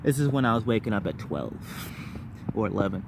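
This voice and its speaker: Rambling man over clear background noise. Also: fairly low pitch, animated, fairly quick. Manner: rambling, repeats words and phrases in bursts. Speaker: vlogger. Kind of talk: casual personal storytelling to viewers.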